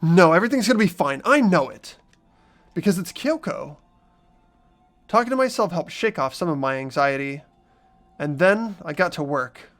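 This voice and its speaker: Guy playing a anxious earnest high school boy in a game, high voice, very animated. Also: moderate pace, pauses often, soft background music. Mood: nervous resolve. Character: earnest, anxious teenage schoolboy, narrating his own story. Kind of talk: fan voice acting of a visual novel game.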